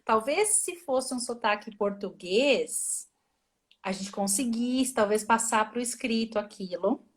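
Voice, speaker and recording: Woman, fairly high voice, casual chatty translator, thinks aloud, restarts often, video call. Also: animated, measured pace. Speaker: translator and reviser, guest. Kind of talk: casual interview over a live stream. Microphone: phone or laptop mic on a video call.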